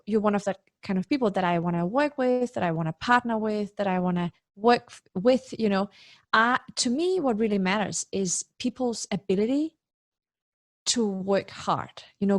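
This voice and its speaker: A woman's voice: medium pitch, normal speed, no room sound, studio quality. A woman in her forties who grew up in Denmark, a guest speaker in a web interview, talking in an effusive, personal way with many "you know" fillers. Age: 40s. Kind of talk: candid interview talk. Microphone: video call.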